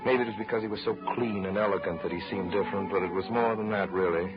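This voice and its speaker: A man's voice: on the low side, fairly even intonation, fast talker, close to an everyday voice. A man narrating a 1930s radio drama from a script in a lyrical storytelling manner. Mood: fond, confiding. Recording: muffled 1930s radio, music behind.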